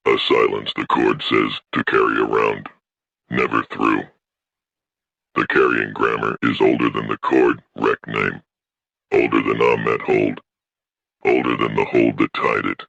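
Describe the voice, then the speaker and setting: A man playing an old, weary alien elder who speaks in solemn, stern, formal declarations with a gravelly creak, in a science fiction audio story. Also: extremely low pitch, level tone, a little slow, pauses often, a bit bright, dry recording, highs cut off, full character voice.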